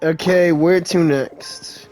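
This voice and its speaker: A woman's voice: on the low side, slow. A woman giving casual, reactive commentary on a video game she is playing. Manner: expressive, reactive. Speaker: gamer commenting on a playthrough. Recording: game music behind the voice.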